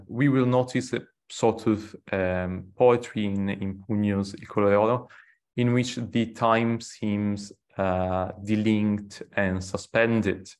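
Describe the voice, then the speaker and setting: Man giving a halting, measured lecture. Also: on the low side. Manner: measured, halting delivery with frequent fillers and restarts. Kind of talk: academic lecture. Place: small room indoors. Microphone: webcam on a video call.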